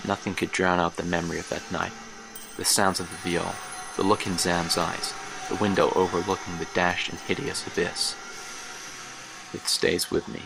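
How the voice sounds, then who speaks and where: A man's voice: deep voice, level tone, creaky, over music. An actor playing a haunted older man, recalling his youth in a grave, literary horror monologue for an audio drama. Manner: grave, literary, measured storytelling. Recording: noisy track with ambience behind.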